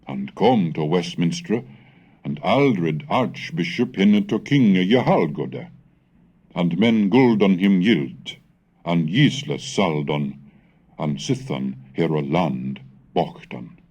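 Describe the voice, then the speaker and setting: A man reading a scholarly script in a measured, formal, lecturing manner, as narrator of a language history lesson. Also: low-pitched, animated, darkish tone, husky, lo-fi sound. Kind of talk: scholarly scripted narration and recitation of old texts.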